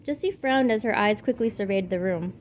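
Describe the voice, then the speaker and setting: A woman's voice: mid-range voice, expressive, brisk. A woman reading a story aloud as an amateur narrator. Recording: clearly noisy amateur home recording. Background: background noises.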